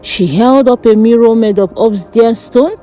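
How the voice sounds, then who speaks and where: A woman narrating a dark folk tale as a storyteller, in a deliberate, theatrical manner. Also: fairly high pitch, soft background music, poor audio.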